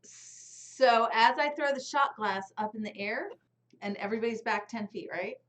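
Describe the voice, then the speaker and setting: A woman's voice: on the high side, moderate pace, fairly bright tone. A woman playing in a tabletop game, talking eagerly and explaining her moves at length. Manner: eager, talkative. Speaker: player. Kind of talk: casual tabletop play. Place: online live stream.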